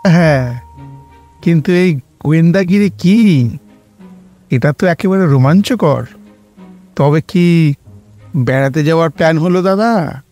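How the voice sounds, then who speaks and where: Man playing a jolly, slow-drawling joker uncle. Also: fairly high pitch, a little mellow, lightly acted. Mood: playful, jolly. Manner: playful, chuckling, drawn-out talk. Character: jolly, joking middle-aged uncle, fun-loving sidekick. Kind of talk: Bengali audio drama acting. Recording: music bed underneath.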